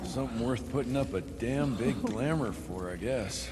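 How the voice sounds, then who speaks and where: An actor playing a wry, gruff, fearless vampire hunter in a video game, laconic and teasing. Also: male voice, medium pitch, expressive, moderate pace, noisy background, close to an everyday voice. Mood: dry, unimpressed.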